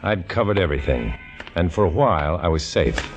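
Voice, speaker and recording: A man's voice: deep voice, big pitch swings, fairly quick, music in the background. An actor playing a tough undercover agent who tells his own story in hard-boiled first-person narration, in a 1950s radio drama. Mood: reflective.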